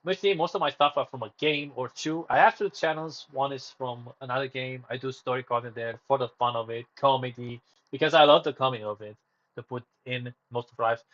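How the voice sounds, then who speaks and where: Man, small streamer talking solo; wandering, self-correcting delivery at a middle pitch, slightly muffled audio. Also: brisk, a bit bright. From Romania. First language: Romanian. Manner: rambling, self-correcting, drifts off topic. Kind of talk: casual solo livestream chat.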